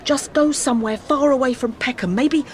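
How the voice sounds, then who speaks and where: A woman's voice: high-pitched, moderate pace, natural voice. A woman playing an anxious, protective middle-aged English mother on the run in an audio drama, with an urgent, hesitant motherly manner. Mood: urgent, grieving.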